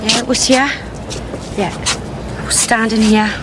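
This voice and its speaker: A woman's voice: a little high, fairly even intonation, unhurried, close to an everyday voice. An actress playing a hard-up working-class mother from the of England, a witness who talks in a blunt, wry, rambling way. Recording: radio drama with street noise. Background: street ambience, passing cars.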